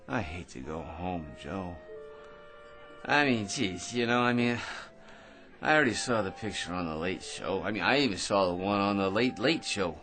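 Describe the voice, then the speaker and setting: An actor in a radio drama playing a boring, tipsy barfly who rambles, stammers and chuckles to himself. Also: male voice, low voice. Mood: chatty, bored.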